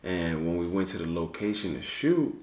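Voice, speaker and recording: A young man as a guest in casual chat, telling stories in a relaxed, slangy way. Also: low-pitched, normal speed. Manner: relaxed slangy storytelling, many "you feel what I'm saying". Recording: echoey room with faint noise.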